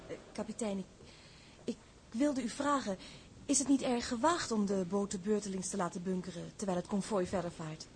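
A woman's voice: mid-range voice, moderate pace, a little hiss or hum, natural voice. An actress playing a passionate, lovestruck female newspaper reporter in a Dutch drama, emotional and intense. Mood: hesitant, shy. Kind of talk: Dutch broadcast drama acting.

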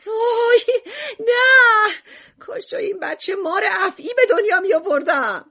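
A shrill, wailing mother. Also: pushed and bright, heavily acted. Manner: shrill scolding with wailing cries. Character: shrill exasperated mother, melodramatic. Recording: dull lo-fi audio.

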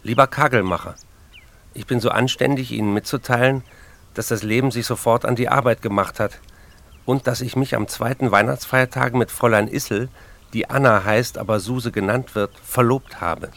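A man's voice: medium pitch, moderate pace, a bit bright, lightly acted. An actor playing a besotted, restless writer in a radio play, reading his letters aloud with dry, precise, literary phrasing. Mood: dry, wry.

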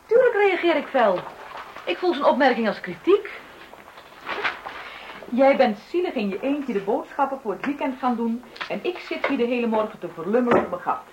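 Exasperated wife, radio play, lively pitch, argumentative bite, noisy old recording. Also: female voice, normal speed, dull audio. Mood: bitter, sarcastic. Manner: argumentative, sarcastic, pointed. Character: exasperated, sharp-tongued wife, twenty years married.

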